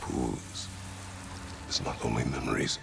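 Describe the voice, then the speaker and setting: An actor playing a grim, remorseful warrior god in a video game, speaking in terse, solemn, halting phrases with a gravelly voice. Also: male voice, full character voice. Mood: grave, somber. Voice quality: gravelly, rumbling. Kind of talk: video game cutscene acting. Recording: game music behind.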